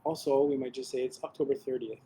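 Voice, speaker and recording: A young man presenting an academic webinar over a video call, talking in a relaxed way with frequent ums and restarts. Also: mid-range voice, quick pace, a little hiss or hum, rough recording.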